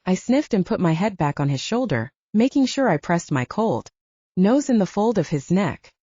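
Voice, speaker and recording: A woman's voice: mid-range voice, big pitch swings, normal speed, dark tone, breathy edge, dry recording, dull audio. A woman narrating first-person fiction as an audiobook, in a scripted storytelling style.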